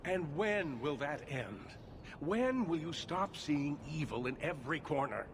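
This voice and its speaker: An actor playing an indignant, impassioned leader of mages, speaking formally and urgently in a declamatory fantasy game style. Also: male voice, fairly high pitch, very animated, measured pace, a crowd in the background. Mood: grave, accusing. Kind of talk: dramatic fantasy video game acting.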